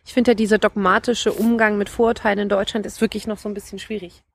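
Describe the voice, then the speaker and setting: A woman's voice: medium pitch, little pitch movement, fast talker, vocal fry. A young woman from eastern Germany in a casual podcast discussion, earnest and a little hesitant. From Dresden area, eastern Germany. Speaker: podcast co-host.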